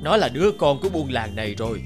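A man voicing a solemn old male village elder in a cartoon, speaking ceremonially over loud music. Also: medium pitch, big pitch swings, fast, natural voice. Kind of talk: cartoon voice acting.

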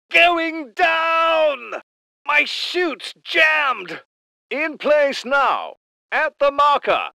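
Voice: high voice, bright, forceful tone, dry recording, clean studio sound, exaggerated voice. An actor voicing a cocky British military aviator in a video game, wry, informal and slangy. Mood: panicked, then alert. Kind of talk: video game unit voice lines.

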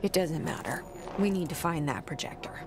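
An actress speaking a wary young woman's inner monologue, low and clipped. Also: moderate pace, natural voice. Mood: uneasy. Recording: voice over clear music.

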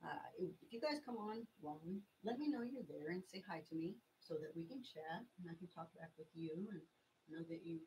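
A woman's voice: on the low side, expressive, brisk, a little mellow. A middle-aged woman from Texas with a scratchy voice from being sick, chatting casually as she demonstrates a craft project on a home livestream in a small craft room, filmed by a camera across her worktable. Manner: chatty, rambling, stops to explain each step. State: scratchy voice from illness.

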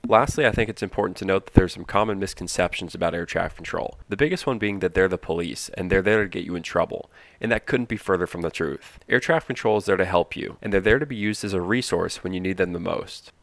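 Male explainer narrator: lowish voice with some fry, scripted delivery, close dry recording. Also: fast talker, no room sound. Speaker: narrator of a flight training promo.

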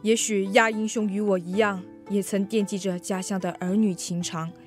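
A woman's voice: mid-range voice, steady tone, moderate pace, faint music behind, lightly acted. A teenage girl playing an earnest, patriotic male soldier in a student radio drama, speaking formally.

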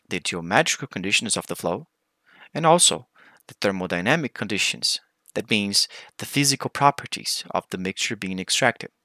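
A man's voice: lowish voice, pushed and bright, no room sound, studio quality. A man with a Brazilian accent, a native Portuguese speaker, giving a formal, methodical scripted technical presentation as a researcher.

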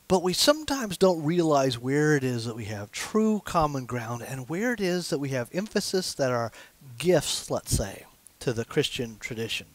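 Older man, pastor, conversational sermon, fairly high voice, dry sound. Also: no echo. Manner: conversational, gesturing with his hands as he preaches. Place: small church sanctuary with stained glass windows.

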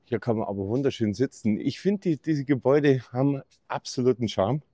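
A man's voice: medium pitch, a little fast, dark tone, no room sound. Chatty man touring a campsite. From Bavaria, Germany. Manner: chatty, upbeat, jokey. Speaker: camping vlogger. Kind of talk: casual walk-through tour. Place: campsite outdoors and indoor reception office.

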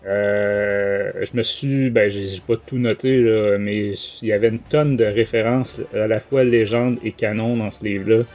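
A man's voice: on the low side, fairly even intonation, a little slow, hardly any pauses, a little mellow, dull audio, soft background music. A man with a Québécois French accent hosting a podcast, giving a casual book review in a rambling, colloquial, hesitant way.

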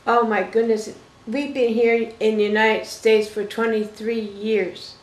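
A woman's voice: on the high side, level tone, slow pace. An older woman from Toronto hosting a casual interview, folksy and fond of pet names. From Toronto, Canada. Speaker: talk show host, interviewer. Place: small living room, indoors. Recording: roomy, echoing living-room sound.

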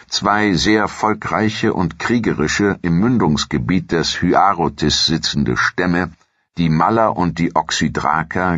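An extremely deep male voice with a husky grain narrates history from a script. His delivery is measured and sober, like a documentary storyteller. The sound is very dry, with slightly muffled top end. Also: fairly bright tone, no echo.

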